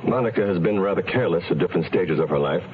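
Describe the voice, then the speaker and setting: Radio drama part for a man: courteous, faintly pompous lecturer, deep register, faint noise on muffled tape. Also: very fast, natural voice. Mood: earnest. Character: smooth-talking male self-help lecturer, courteous, self-justifying. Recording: dull, lightly noisy radio recording.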